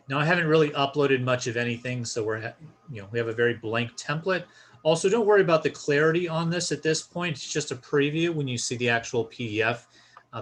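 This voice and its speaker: Man giving a casual software walkthrough. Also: mid-range voice. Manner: informal, explanatory, step-by-step. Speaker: software tutorial presenter. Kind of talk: casual instructional screen-share walkthrough.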